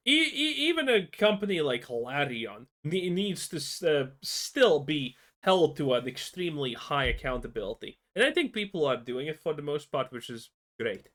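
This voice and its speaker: Blunt man streaming, lively and high. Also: normal speed. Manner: blunt, profane, heated opinion. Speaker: streamer reacting to a video. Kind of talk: casual reaction commentary.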